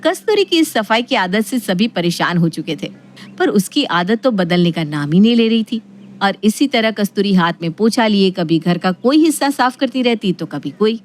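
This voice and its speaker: A woman's voice: mid-range voice, lively intonation, moderate pace. A woman narrating a scripted family story.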